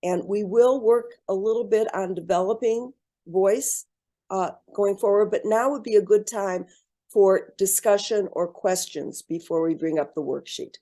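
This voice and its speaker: A woman leads an online workshop over a video call. Her voice is warm and mellow. She speaks in a measured, encouraging way, pausing on ums and restarting phrases. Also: mid-range voice. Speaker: program presenter.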